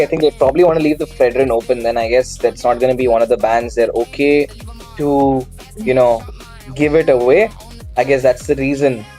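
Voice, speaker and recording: A man casting a live esports match, asking pointed questions about the plays, his voice worn from shouting. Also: mid-range voice. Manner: questioning, incredulous analysis. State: strained voice after shouting. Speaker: esports caster.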